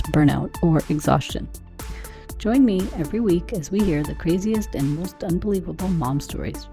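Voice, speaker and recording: A woman's voice: lowish voice, dark tone. A middle-aged woman speaking as a podcast host, introducing the show and interviewing a guest. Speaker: podcast host, wellness coach.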